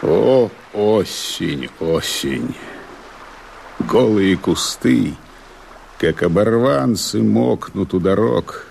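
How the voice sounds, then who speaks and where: An actor playing a brooding, wary rebel sentry in a radio verse drama, with a raspy voice and slow, declamatory delivery. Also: male voice, fairly low pitch, lively intonation, darkish tone, a little hiss or hum, heavily acted. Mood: gloomy, lyrical.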